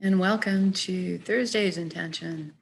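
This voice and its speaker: A woman leading a guided meditation, speaking in a gentle, measured, soft way as she gives soothing instructions. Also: lowish voice, vocal fry, slight background noise, poor audio.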